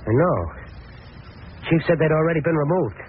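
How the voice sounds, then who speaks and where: A man's voice: a little high, normal speed, natural voice. A man acting in a 1940s radio drama as a crooked, impatient gang boss with a curt, slangy, bullying manner. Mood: defiant. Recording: tinny, noisy old radio recording.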